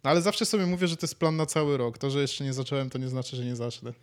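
A young man speaks in a medium-pitched voice. He co-hosts a podcast and talks in a casual, conversational style. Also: fast. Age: 20s. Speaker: podcast co-host. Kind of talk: casual chat.

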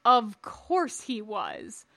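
An imperious, scornful Jedi master voiced by a woman for a storybook reading. He speaks slowly and formally, pronouncing each judgment as a stern lecture. The sound is close and dry. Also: a little high, huge pitch swings, creaky, pushed and bright, no echo, full character voice. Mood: haughty, lecturing. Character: tall imperious old Jedi master, scornful, pompous man.

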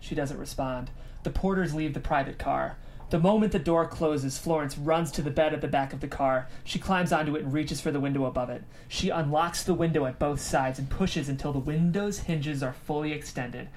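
A woman's voice: low voice, moderate pace, a little mellow. A woman who hosts a script table read, narrating stage directions and interviewing the writer.

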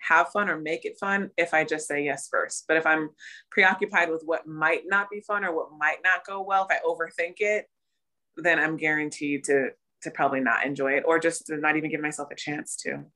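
A woman co-hosting a casual podcast chat, thinking aloud with restarts, her voice slightly raspy today. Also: deep voice, steady tone, brisk, sharp tone. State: raspy voice from cheering. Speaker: podcast co-host.

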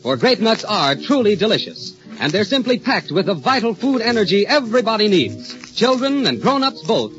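A male American radio announcer from the 1930s delivering scripted introductions and sponsor pitches on a vintage broadcast. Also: very animated, moderate pace, few pauses, fairly bright tone. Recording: dull vintage 1930s radio, music behind. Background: music.

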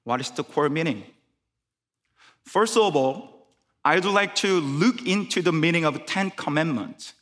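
A man with a Korean accent preaches at an unhurried pace, his voice sitting a little higher than most men's. He picks his English words with care and sometimes starts a phrase over. A long church reverb rings behind him. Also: fairly bright tone. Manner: careful, halting English with restarts. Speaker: guest preacher. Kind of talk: sermon. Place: church sanctuary.

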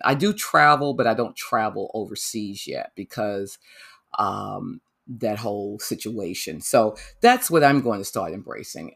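A man hosting his own podcast, reflecting in a loose, rambling way with many pauses and restarts. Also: mid-range voice, vocal fry, fairly bright tone. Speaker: podcast host. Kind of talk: solo podcast monologue.

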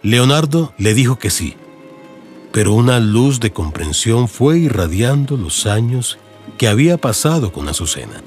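A man's voice: medium pitch, very animated, unhurried, a little mellow. A man with a Colombian accent giving dramatic, scripted narration for an audiobook in radio soap style. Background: music.

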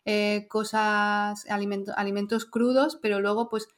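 A woman hosting a casual conversation about motherhood, talking in a chatty way with soft fillers. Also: medium pitch, flat delivery, normal speed. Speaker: podcast host.

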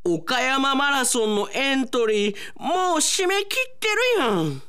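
A man's voice: very high-pitched, sing-song, unhurried, pushed and bright, smooth, pitched above the performer's own voice, heavily acted. A man voicing a panicky, whiny young slacker in a comic radio drama, with exaggerated plaintive delivery. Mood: dismayed disbelief.

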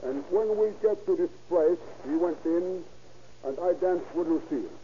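An actor playing an excitable immigrant witness who rambles eagerly in broken English in a 1930s radio crime drama. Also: male voice, a little high, normal speed, close to an everyday voice. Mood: excited, rambling. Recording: muffled, noisy old radio recording.

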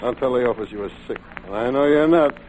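A man's voice: medium pitch, fast, noisy background, close to an everyday voice. A man playing a knowing, easygoing truck driver in an old-time radio drama, plain-spoken. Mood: knowing, uneasy.

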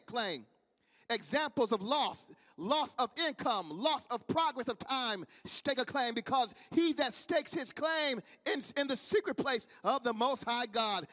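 High-voiced Midwestern preacher in echoing hall. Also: male voice, bright, forceful tone. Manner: fervent, repetitive preaching cadence. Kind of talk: sermon. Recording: long reverb of a large hall.

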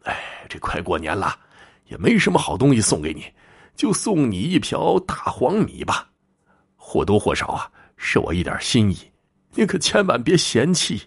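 A man voicing a genial, courteous old village patriarch in a folk tale, hospitable and stately, with drawn-out, coaxing phrases. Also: medium pitch, huge pitch swings, gravelly, breathy edge, voice pushed up, exaggerated voice. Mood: humble, kindly.

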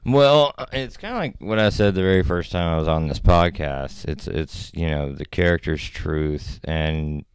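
Very deep gravelly man, podcast guest. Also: a little slow, few pauses, no echo. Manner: laid-back, rambling, laughs often. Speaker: actor and filmmaker guest. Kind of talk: casual podcast chat.

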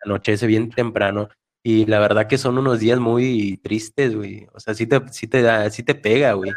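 A man with a Mexican Spanish accent, chatting casually on a podcast, relaxed and slangy. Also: lowish voice, moderate pace. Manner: relaxed, slangy, frequent backchannels. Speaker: podcast co-host. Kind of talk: casual chat.

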